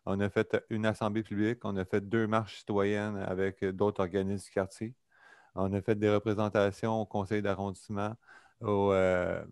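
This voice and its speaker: Québécois man, low steady voice, smooth and dry, earnest delivery with hesitant fillers, on a remote call. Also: dry recording. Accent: Québécois French. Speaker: public hearing participant. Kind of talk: formal public statement. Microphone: video or phone call.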